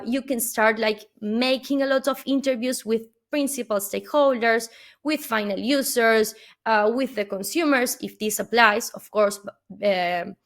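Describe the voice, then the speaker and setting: A woman from Argentina, a native Spanish speaker talking in English with an Argentine accent, giving an earnest webinar keynote full of "uh" fillers and questioning "no?" tags. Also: a little high.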